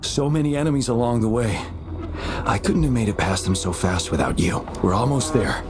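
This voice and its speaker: A man playing an earnest, supportive young soldier companion in a video game, giving clear tactical direction. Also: mid-range voice, fairly quick, music in the background, lightly acted. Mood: encouraging, determined.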